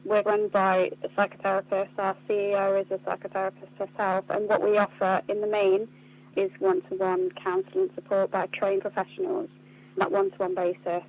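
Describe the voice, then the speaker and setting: A woman's voice: medium pitch, completely flat delivery, moderate pace, darkish tone, low-quality recording. A woman being interviewed on the radio, explaining things in an articulate, earnest way. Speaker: charity communications officer being interviewed. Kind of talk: spoken radio interview answers.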